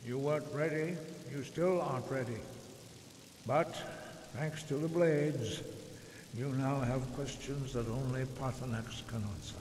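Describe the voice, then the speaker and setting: An actor playing a stern, wise old monk master in a fantasy video game, speaking in a solemn, formal, measured and admonishing way. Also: male voice, medium pitch, a little mellow, a little hiss or hum, lightly acted. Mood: grave, resigned. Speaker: game character, mentor. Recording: echoey stone hall, music behind.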